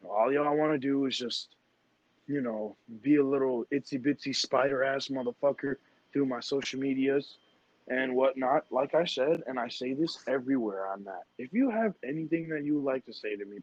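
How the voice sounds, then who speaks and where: A young man hosts his own podcast in loose, rambling talk, blunt and full of swearing. His voice sits at a middling pitch. The sound is dry and a little dull, like a cheap home mic. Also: dry recording. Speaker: podcast host. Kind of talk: casual podcast chat.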